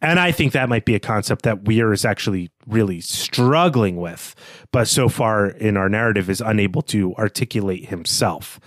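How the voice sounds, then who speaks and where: A man's voice: lowish voice, lively intonation, moderate pace, no room sound, studio quality. A man co-hosting a literary discussion podcast, talking in a reflective, analytical way. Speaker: podcast co-host.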